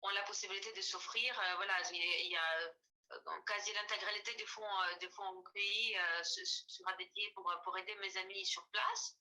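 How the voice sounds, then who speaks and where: A woman's voice: mid-range voice, fairly even intonation, normal speed, bright, forceful tone, poor audio. A woman in her forties from Ukraine, a native Ukrainian speaker, talking as an artist guest on a video call, with many fillers and restarts. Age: 40s. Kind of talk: casual interview.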